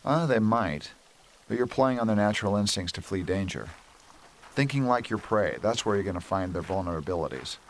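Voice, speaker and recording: A man plays a kindly weapons inventor in an amateur radio play. His voice is fairly low and his manner enthusiastic. A little noise runs behind him. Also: lively intonation, fairly quick. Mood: thoughtful, kindly. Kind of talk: amateur radio drama acting. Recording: faint background noise.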